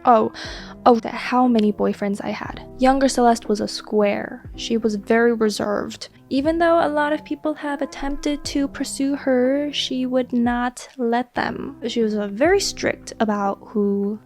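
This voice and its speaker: A woman in her twenties, a crochet creator chatting casually and earnestly as she answers viewer questions, sometimes dropping to a soft whisper. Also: a little high, a little slow, faint music behind. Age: 20s. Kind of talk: casual Q&A chat.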